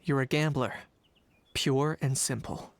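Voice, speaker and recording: An actor playing a cool, unsentimental young man in a game, speaking in a dry, measured, deadpan way. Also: mid-range voice, a little hiss or hum, close to an everyday voice. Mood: cool disbelief. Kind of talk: game voice acting. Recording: music behind the voice.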